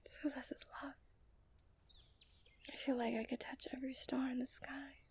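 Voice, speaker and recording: A woman playing a confident, romantic young princess in a soft, intimate ASMR roleplay. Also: sits high, level tone, normal speed, gravelly, slight background noise. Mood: tender, whispered. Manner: soft, intimate delivery that often drops into whispers.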